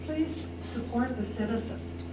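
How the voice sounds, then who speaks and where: A woman's voice: a little high, measured pace. An American woman making an informal, earnest plea as a resident at a public meeting, in plain short sentences. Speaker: resident speaking at public comment. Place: indoor council meeting room. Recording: echoey meeting room, distant mic, noisy hum.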